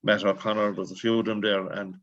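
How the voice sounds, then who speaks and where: Man, Irish accent, sports journalist, steady with "uh" fillers, lowish voice. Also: a little fast. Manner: steady, many "uh" and "you know" fillers. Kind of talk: radio sports discussion. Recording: dry, dull lo-fi sound.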